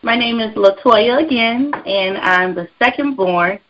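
A woman in her twenties, a gospel singer guest, calmly presenting her group's songs. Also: on the high side, a little slow, highs cut off. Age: 20s.

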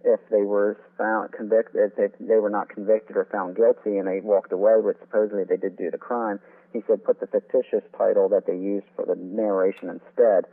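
A man's voice: lowish voice, flat delivery, brisk. A man being interviewed as an author and historian, giving detailed, wordy explanations that tend to ramble. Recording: tinny phone-like line.

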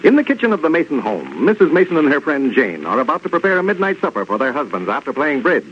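Animated 1930s American radio announcer, male. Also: on the high side, quick pace. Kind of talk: 1930s scripted sponsor narration. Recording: dull, noisy 1930s radio recording.

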